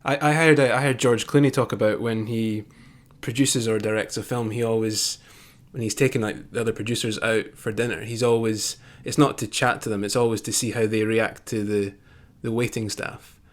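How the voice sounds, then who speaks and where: A man with a fairly low voice co-hosts a relaxed podcast chat. He talks in an agreeable, reflective way and keeps chiming in with short replies of agreement. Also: normal speed. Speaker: podcast co-host. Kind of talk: casual podcast conversation.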